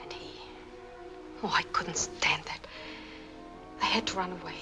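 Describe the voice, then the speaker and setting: An actress playing a troubled, guarded young immigrant widow in a dramatic, earnest 1940s film style. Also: female voice, fairly low pitch, steady tone, unhurried, whispered, close to an everyday voice. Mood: haunted, fearful. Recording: old film soundtrack, music behind.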